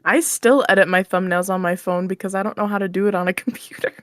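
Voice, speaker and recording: A woman's voice: mid-range voice, steady tone, fast talker, fairly bright tone, dry recording, studio quality. A woman chatting casually as an interview guest, candid and informal, with a giggly manner. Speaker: interview guest, audio roleplay creator. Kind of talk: casual chat.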